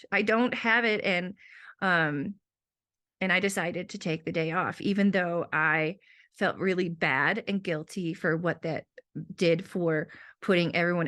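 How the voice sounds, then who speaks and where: A woman's voice: on the low side, fairly bright tone. A young woman and teacher, sick with a sore throat, talking casually and rambling through her week in a personal monologue. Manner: rambling, self-talking asides.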